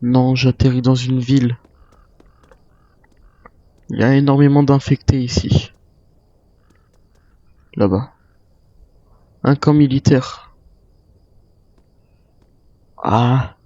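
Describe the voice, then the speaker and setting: A man with a dark, relaxed voice at a medium pitch narrates his survival game in character. He mutters his thoughts aloud as he improvises, on a slightly dull microphone. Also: takes it slowly, long pauses. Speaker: gamer roleplaying a zombie-island survivor.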